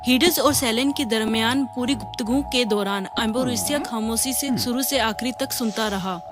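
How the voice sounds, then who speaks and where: A woman's voice: on the high side, moderate pace, over music. A woman reading scripted fairy-tale narration as the storyteller.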